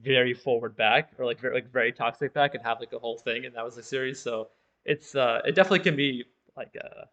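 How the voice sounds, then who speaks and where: A man's voice: mid-range voice, quick pace, fairly bright tone. A man co-hosting a gaming podcast, chatting casually with many fillers, heard over dull, lo-fi audio. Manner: casual, filler-laden talk. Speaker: podcast co-host.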